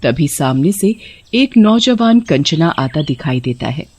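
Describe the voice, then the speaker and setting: Woman narrating a horror story. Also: a little slow. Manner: steady storytelling, dropping lower for eerie moments.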